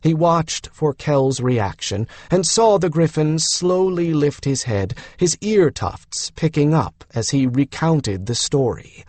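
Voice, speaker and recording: A man's voice: a little high, expressive, takes it slowly, hardly any pauses, darkish tone, no room sound. A man reading scripted fantasy fiction as an audiobook narrator.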